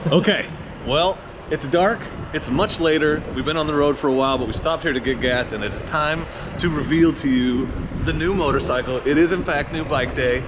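A man's voice: fairly high pitch, normal speed. A man in his forties hosting a casual road trip vlog, joking and self-mocking, filmed on a handheld action camera in a truck and at roadside stops. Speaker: motorcycle vlogger. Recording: background road and wind noise.

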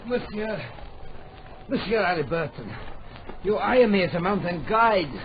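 A proud older mountain guide with a French accent in a radio play. He grumbles in a somewhat high voice, and the old recording sounds dull. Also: lively intonation, unhurried, a little hiss or hum. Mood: grumbling, wry. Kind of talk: old radio drama acting.

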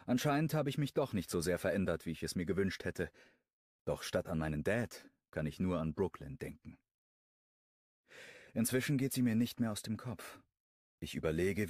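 Man narrating a romance audiobook, really deep voice, dead dry close sound, calm and inward. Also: moderate pace, no room sound. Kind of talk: first-person audiobook narration.

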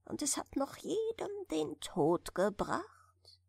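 A woman voicing a grave old village man from a fairy tale, slow, hushed and ominous. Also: high voice, wildly swooping pitch, gravelly, no echo, clean studio sound, voice pushed up, exaggerated voice. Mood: grave warning.

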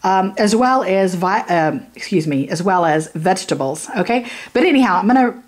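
A woman vlogger with a hoarse, raspy voice from sinus trouble, rambling casually through a supplement review to camera with lots of fillers. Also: mid-range voice, lively intonation, moderate pace. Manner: rambling, chatty, many fillers and "you know what I mean". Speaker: YouTube beauty vlogger. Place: indoors, filming a product close up.

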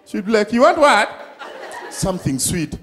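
A middle-aged man with a Ugandan accent doing a loud, playful stand-up set on a big studio stage with a handheld mic. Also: sits high, very animated, moderate pace. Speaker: stand-up comedian. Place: large studio stage with live audience. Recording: live stage sound with crowd and music. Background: audience laughter and music.

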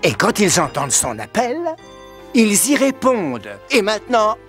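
A performer voicing a fussy, composed magic book in a cartoon, formal and instructive, quick to admonish. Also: medium pitch, big pitch swings, fairly bright tone, faint music behind. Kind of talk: animated cartoon dialogue.

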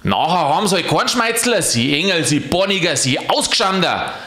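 A woman voicing a cranky, loud Munich porter who has become an angel, shouting and cursing in Bavarian dialect. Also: low voice, huge pitch swings, brisk, echoey room, voice pushed up, heavily acted. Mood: angry, shouting.

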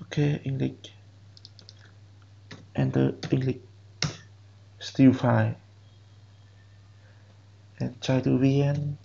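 A man whose first language is Vietnamese, giving halting step-by-step instructions in a screen-recorded software tutorial. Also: medium pitch, flat delivery, gravelly, highs cut off. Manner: slow, halting step-by-step instructions in non-native English. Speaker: programming instructor.

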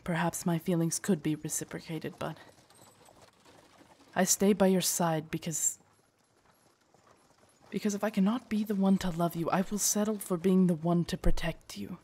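A woman acting low-voiced, devoted lady knight. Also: steady tone, moderate pace, long pauses, dark tone. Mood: tender, confessing. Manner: formal, courtly, hesitant and stammering when flustered. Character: devoted female knight, tender, earnest, shyly lovestruck royal guard. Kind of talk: roleplay audio drama, intimate scripted monologue.

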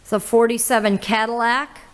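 A woman, hosting a casual auction walkthrough in an old barn full of cars, listing items with little "uh" pauses. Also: a little high, steady tone. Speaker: auctioneer host giving a car walkthrough. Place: inside old barns and sheds full of cars.

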